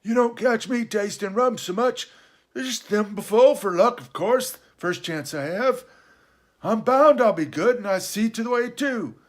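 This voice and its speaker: A man voicing a half-crazed, sly and childish marooned sailor in an adventure storybook, hoarse, excitable and rambling in rustic seafaring dialect. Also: high-pitched, measured pace, pitched above the performer's own voice. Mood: pious, rambling. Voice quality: hoarse, awkward.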